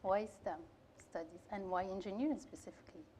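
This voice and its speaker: A woman's voice: mid-range voice, fairly quick, mellow, slight background noise. A young woman, a PhD student, moderating a panel in a friendly way with some hesitant fillers. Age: young adult.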